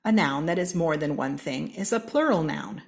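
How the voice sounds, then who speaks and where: A woman from Seattle with an American accent, reading a children's picture book aloud in a slow, expressive way. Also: vocal fry. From Seattle, Washington. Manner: slow, expressive read-aloud with lively pitch swings. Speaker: storybook reader.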